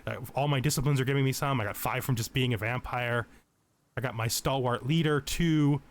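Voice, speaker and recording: A man's voice: medium pitch, a little fast. A man with a mid-range voice hosts a video game tutorial. He speaks casually, explaining systems step by step and thinking aloud as he makes his choices. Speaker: let's play host giving a game tutorial. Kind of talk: casual gaming tutorial commentary.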